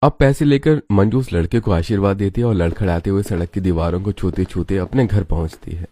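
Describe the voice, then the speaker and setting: A man's voice: sits low, brisk, warm tone. A man narrating a scripted cartoon story as its storyteller.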